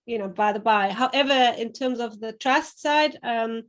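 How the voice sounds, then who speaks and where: A woman's voice: on the high side, moderate pace. German-accented woman on a panel. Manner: thoughtful, many fillers and restarts. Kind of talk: panel discussion.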